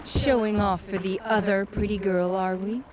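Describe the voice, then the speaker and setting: An actress playing a seductive dark companion in a fantasy game, sultry, sly and teasingly sardonic. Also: female voice, medium pitch, fairly even intonation, moderate pace, very noisy, lightly acted. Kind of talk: dramatic fantasy game acting.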